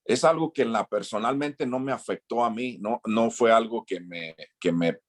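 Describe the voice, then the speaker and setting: A Mexican man, a former missionary, answering an interview question slowly, with many restarts and repeated words. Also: medium pitch.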